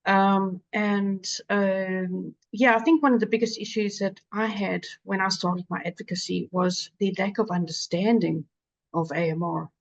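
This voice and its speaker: A woman's voice: medium pitch, fairly even intonation, a little slow, creaky, lo-fi sound. A woman with a South African accent speaking earnestly as a patient advocate on a webinar panel, with many fillers and restarts.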